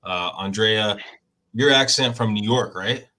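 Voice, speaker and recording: A male host opens the interview playfully, looping through restarts and asides before landing his questions. His voice has a bright, forward edge at a middling pitch. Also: unhurried. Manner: jokey, rambling, many restarts. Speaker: podcast host. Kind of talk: casual interview chat.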